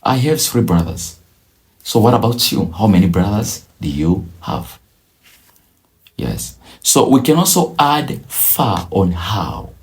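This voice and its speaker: A man teaching a beginner language lesson, drilling each phrase slowly and repeating it several times. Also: fairly low pitch, very animated. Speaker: English teacher.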